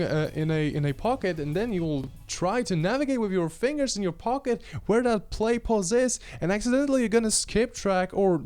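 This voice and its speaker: A man's voice: a little high, darkish tone, clear, resonant tone. A man, giving a casual, blunt and slangy product review into a handheld microphone. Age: 20s. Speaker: gadget reviewer. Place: small home room studio, indoors. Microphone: handheld microphone held close.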